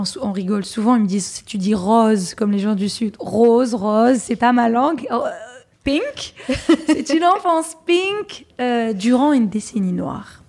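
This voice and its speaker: A woman in her thirties from Algeria, a journalist and presenter, chatting as a guest in an interview, laughing often and restarting her sentences. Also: fairly high pitch. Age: 30s. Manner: lively, laughs often, many fillers and restarts. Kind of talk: casual interview talk.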